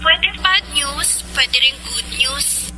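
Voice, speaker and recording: An actress playing a teasing young sweetheart in a radio drama. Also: female voice, high-pitched, slow, noisy background. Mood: teasing, upbeat. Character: young sweetheart working overseas, teasing with news.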